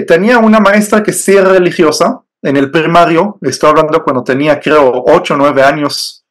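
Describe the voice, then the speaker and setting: A man from Israel whose first language is Hebrew, speaking in a measured, hesitant way with fillers as an interview guest telling his faith story. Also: a little high. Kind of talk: personal faith testimony.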